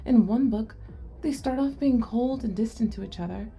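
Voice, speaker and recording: A woman's voice: on the high side, moderate pace, dark tone, faint music behind, lightly acted. A woman playing a shy, curious, nerdy young goblin girl in an ASMR roleplay, speaking earnestly and expressively to the listener. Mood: earnest, puzzled.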